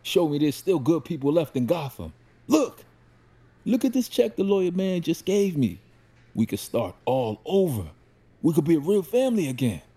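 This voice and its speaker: Man playing husky, animated, down-on-his-luck father. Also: fairly high pitch, normal speed, heavily acted. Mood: hopeful, excited. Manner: earnest, excitable. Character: remorseful recovering addict father, hopeful, playful, working-class. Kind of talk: audio drama acting.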